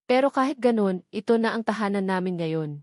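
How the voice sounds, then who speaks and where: Female narrator for romantic audio drama, medium pitch, emotional scripted first-person delivery, very dry sound. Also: expressive, brisk, darkish tone, no room sound. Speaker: story narrator.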